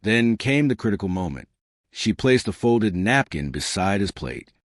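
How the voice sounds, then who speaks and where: A man's voice: on the low side, big pitch swings, normal speed, no echo, studio quality. A man reading a suspenseful science fiction story aloud in a dramatic narrating style.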